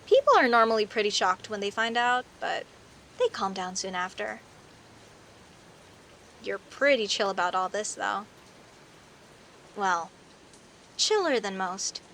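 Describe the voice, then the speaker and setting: A woman playing a friendly, teasing young werecat neighbor in an audio roleplay, chatting playfully to the listener with hesitant pauses and theatrical emphasis. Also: mid-range voice, big pitch swings, sharp tone, a little hiss or hum, full character voice. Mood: relaxed, curious. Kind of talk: audio roleplay, casual one-sided conversation with the listener.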